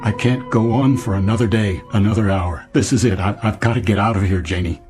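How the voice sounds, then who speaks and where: A man's voice: lowish voice, steady tone, fairly quick, a little mellow, soft background music, natural voice. An actor playing a weary, desperate businessman in an audio drama, with a hesitant, strained, pleading manner.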